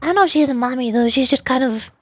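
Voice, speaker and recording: High-voiced woman streamer, playful and rambling. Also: fast talker, dry recording. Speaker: streamer, VTuber. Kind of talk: casual live-stream chat, reading game dialogue.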